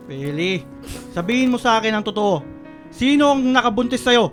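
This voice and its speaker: A man's voice: sits high, expressive, normal speed. An actor playing an exasperated, domineering older father and grandfather in a Filipino radio melodrama, scolding with some stammering. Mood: shocked, scolding. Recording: music and guitar behind the voice.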